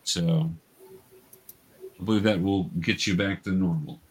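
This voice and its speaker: A man's voice: low-pitched, steady tone, measured pace, darkish tone, breathy edge. A man explaining and narrating a tabletop game as game master, casually and patiently.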